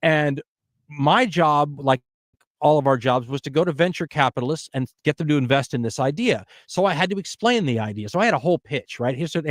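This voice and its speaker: A middle-aged man hosting a podcast, telling stories in an animated, emphatic way during casual conversation. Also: fairly high pitch, a little fast, no echo, clean studio sound. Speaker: podcast host.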